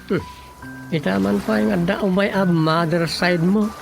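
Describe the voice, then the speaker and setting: A man with an Ilocano Filipino accent playing a casual, questioning rural husband in a comedic radio drama, conversational and low-key. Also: fairly even intonation, normal speed, vocal fry, soft background music.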